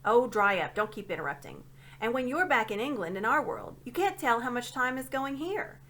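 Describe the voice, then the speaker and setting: A woman's voice: fairly high pitch, big pitch swings, normal speed, fairly bright tone. A woman voicing a cross, sulky schoolboy in a storybook, grumbling and snappish. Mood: impatient explaining.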